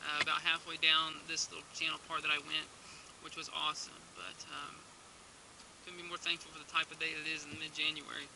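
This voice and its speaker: A man's voice: on the high side, completely flat delivery, fairly quick, vocal fry, background noise. A young man talking casually on a kayak outing, rambling with restarts and fillers, filmed outdoors on the water by a camera mounted on the boat. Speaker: kayaking vlogger. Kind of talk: casual outdoor vlog talk. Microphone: action camera mounted on the kayak.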